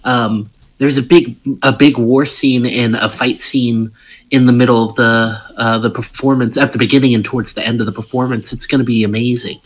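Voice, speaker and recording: Player, male, mid-pitched, eager and halting. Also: steady tone. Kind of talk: casual roleplay, playing a character.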